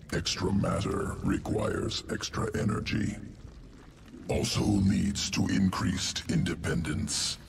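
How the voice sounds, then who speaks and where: A man's voice: echoey room, recorded from a distance, background noise, full character voice. A man voicing a clinical alien evolution-master creature in a video game, speaking in clipped phrases that drop small words. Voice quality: deep gravelly clipped speech, drops small words. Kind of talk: video game cutscene voice acting.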